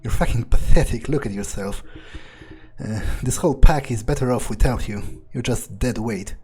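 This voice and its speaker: Gamer man as scornful male vampire, dark mellow tone, husky, sweary, halting improvised roleplay. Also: medium pitch, natural voice. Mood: contemptuous. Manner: sneering, hesitant improvising, swears heavily. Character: callous, foul-mouthed, scornful vampire man. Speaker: gamer playing in character. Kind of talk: improvised in-character game roleplay.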